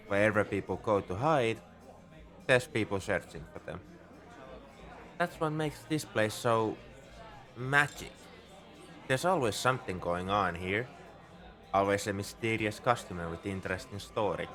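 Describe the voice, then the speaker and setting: A man's voice: on the low side, lively intonation, a little slow, pauses often, a bit bright, soft background music. A man playing a shrewd, easygoing bartender at a space outpost in a conversational, knowing ASMR roleplay monologue. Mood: friendly, probing. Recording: crowd chatter behind the voice. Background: bar crowd murmur.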